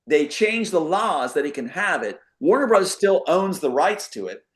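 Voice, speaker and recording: A man's voice: on the high side, big pitch swings, normal speed. Emphatic, rambling man telling a story. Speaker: talk show guest. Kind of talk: casual conspiracy monologue.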